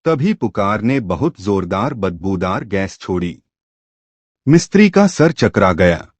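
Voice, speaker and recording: A man narrating a moral folk tale in an animated storytelling style. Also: fairly low pitch, measured pace, no echo.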